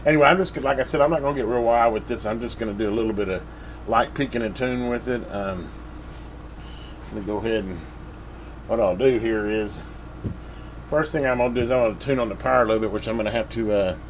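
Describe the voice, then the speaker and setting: A man's voice: medium pitch, fast talker, poor audio. Man, workbench review, rambling, casual, filler-heavy, faint room hum. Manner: rambling, lots of fillers and restarts. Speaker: radio hobbyist reviewing a CB radio.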